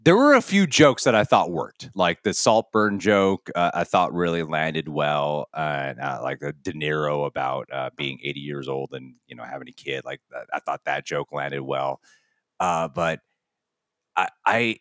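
A man's voice: extremely low pitch, normal speed, a bit bright, no echo, studio quality. A man co-hosting a podcast, talking in a loose, rambling way with frequent laughs and restarts. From United States. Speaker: podcast co-host. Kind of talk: casual chat.

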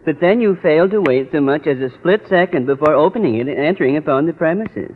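An actor playing a fussy, literal-minded school custodian with precise, formal speech in an old-time radio comedy. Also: male voice, fairly high pitch, animated, quick pace. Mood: pedantic. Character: pedantic, literal-minded male school custodian, proud father. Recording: tinny old radio recording, studio audience.

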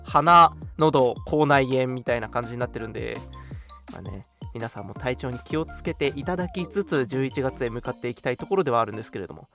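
A man hosting a casual live radio talk show, chatting in an animated, joking way. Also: mid-range voice, brisk, gravelly, soft background music.

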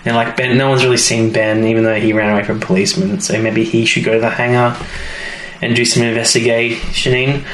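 A man's voice: mid-range voice, level tone, brisk, a bit bright. A man co-hosting a podcast, recapping a story in casual, informal chat and acting out bits of dialogue. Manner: informal storytelling, acts out quotes. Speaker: podcast co-host. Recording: roomy echo, faint background noise.

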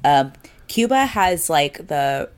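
A woman's voice: sits low, big pitch swings, slow, clean tone. A tired, congested woman co-hosting a podcast in casual, joking chat, with a stuffy, nasal sound. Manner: playful, joking. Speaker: podcast co-host.

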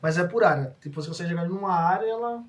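A man's voice: high-pitched, fast talker. A man with a Brazilian accent casually narrating and refereeing a tabletop role-playing game as game master. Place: in-person group game session. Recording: noisy live session, some clicks.

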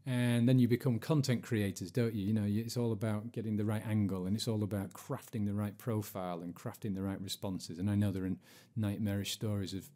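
Man in his forties, mellow voice. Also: on the low side, steady tone, a little fast, few pauses. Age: 40s. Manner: chatty, jokey, self-deprecating. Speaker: podcast host. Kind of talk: casual podcast chat.

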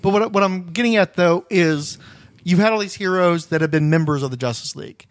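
Male podcast host, slightly high voice, quick talker, probing and explanatory, conversational. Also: dry recording. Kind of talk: casual discussion about superhero comics.

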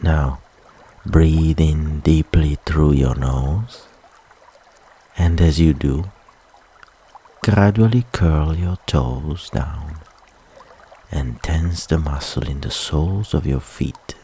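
A man leading a guided relaxation meditation with soft, soothing, unhurried instructions and long pauses. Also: a bass voice, husky.

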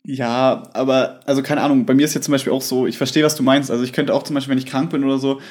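A young man in casual chat as a podcast co-host, a relaxed, chatty storyteller. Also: medium pitch, very fast.

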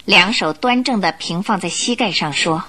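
A woman narrating a radio drama in an expressive, scripted storytelling style. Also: fairly low pitch, normal speed.

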